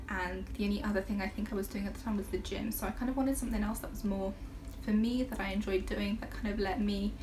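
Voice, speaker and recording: A woman in her twenties from the UK, chatting casually to camera in a rambling way, with many fillers and soft laughs. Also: mid-range voice. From United Kingdom. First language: half Brazilian, Portuguese spoken at home. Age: 20s. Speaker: vlogger. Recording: noticeable background noise.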